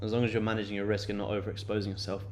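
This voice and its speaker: Late-20s male streamer, low-pitched, relaxed and chatty, repeats himself and fills pauses. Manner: loose and chatty, many fillers and repeated words. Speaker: day-trading livestream host. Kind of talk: casual live-stream chat answering viewers.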